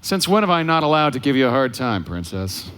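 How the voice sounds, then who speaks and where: An actor playing a cocky, sardonic young smuggler pilot in a live audio drama, brash and wisecracking. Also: male voice, mid-range voice, big pitch swings, brisk, fairly bright tone, clear, resonant tone, soft background music. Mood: wry, teasing. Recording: live stage recording with background noise. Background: sound effects.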